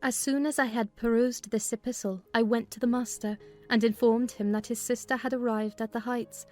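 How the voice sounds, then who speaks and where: A dutiful housekeeper, played by a woman, recounts events in a slightly high voice. The storytelling is formal and literary, as in a dramatized audiobook. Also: steady tone, moderate pace, darkish tone, faint music behind, lightly acted. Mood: dutiful recounting.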